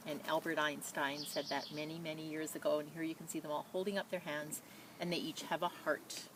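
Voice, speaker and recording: A woman reading a children's picture book aloud in a measured, expressive storytelling manner, with spoken asides. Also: low voice, background noise. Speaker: storybook reader.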